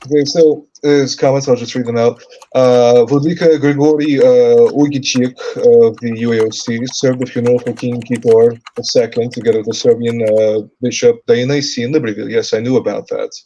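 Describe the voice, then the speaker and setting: A man from the United States co-hosting a live Q&A stream, talking in a halting way with many fillers and restarts. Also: medium pitch, steady tone, dark tone, muffled recording. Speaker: co-host. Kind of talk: livestream Q&A chat.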